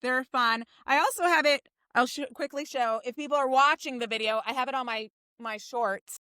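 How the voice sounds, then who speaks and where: Bright, effusive American woman chatting. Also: sits high, normal speed, clean tone, dry recording, clean studio sound. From New Jersey, United States. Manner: effusive, rambling, peppered with "like". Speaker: podcast guest, theater writer and director. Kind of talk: casual podcast chat.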